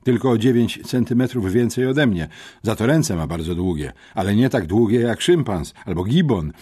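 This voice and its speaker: A man's voice: fairly low pitch, expressive, normal speed, warm tone, husky, pitched above the performer's own voice. A man voicing an earnest, talkative schoolboy amateur detective in an audiobook, meticulous and matter-of-fact. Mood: eager, precise. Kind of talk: audiobook character reading.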